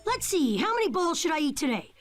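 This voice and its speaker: A woman voicing a loud, excitable teenage boy ninja with a big appetite in an English game dub. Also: very high-pitched, quick pace. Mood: eager. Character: brash teenage boy ninja, ramen-obsessed. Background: game music.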